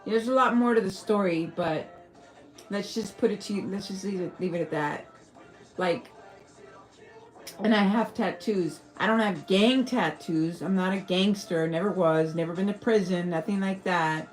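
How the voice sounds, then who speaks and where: A woman's voice: mid-range voice, moderate pace. A woman from El Paso with a Texan accent, chatting casually on a livestream, rambling and swearing freely. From El Paso, Texas. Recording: faint music behind.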